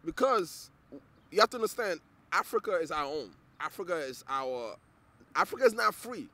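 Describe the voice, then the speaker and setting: Nigerian man, interview guest, a bit high-pitched, earnest and halting, repeats words. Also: very animated, sharp tone. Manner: earnest, repeats words while searching for them. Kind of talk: casual interview conversation.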